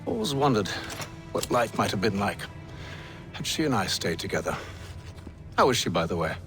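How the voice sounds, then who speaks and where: Lordly British Templar master, expressive. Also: male voice, lowish voice, soft background music. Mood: wistful. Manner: formal, measured, clipped diction. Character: aristocratic middle-aged Templar grand master, cool, cunning father. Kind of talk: video game cutscene acting.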